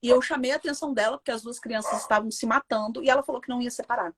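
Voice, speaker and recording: This Brazilian woman talks with a Brazilian Portuguese accent, with a somewhat high voice, and tells her story with emphatic indignation. She speaks casually, as a guest in an interview. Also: fairly even intonation, racing, fairly bright tone, slight background noise.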